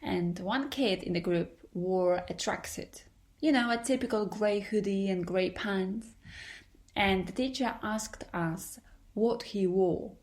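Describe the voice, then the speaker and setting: A woman's voice: mid-range voice, slow pace, a little mellow. A young adult woman with a Polish accent, an English teacher talking through her solo podcast in a careful, earnest and slightly formal way.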